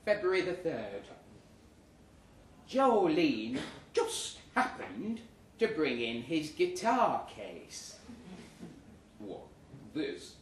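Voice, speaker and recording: A man's voice: a little high, wildly swooping pitch, takes it slowly. A man performs a comic short story live in a pub, narrating with sardonic, indignant theatricality. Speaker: story reader. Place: live reading event in a pub. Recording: echoey, noisy pub room, distant mic.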